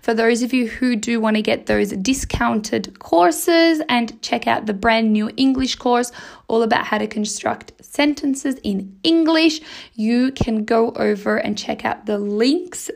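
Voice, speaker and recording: Female podcast host and teacher: husky, strained, gravelly fry on a fairly high voice; chatty, encouraging delivery. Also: unhurried. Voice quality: husky, slightly raspy. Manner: encouraging, chatty, acts out imagined dialogue. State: voice strained, huskier than usual. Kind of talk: casual motivational podcast chat.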